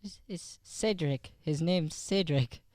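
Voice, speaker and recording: A man's voice: fairly high pitch, slow pace, darkish tone, no echo, studio quality. An actor playing an earnest, cautious Irish boy who stammers and repeats himself in an improvised radio comedy. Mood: needling.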